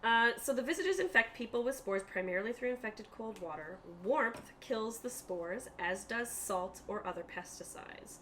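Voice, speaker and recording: Woman game master over clear background noise. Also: on the high side, lively intonation, normal speed, few pauses, a bit bright. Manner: conversational, explanatory. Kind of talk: narrating a tabletop roleplaying game.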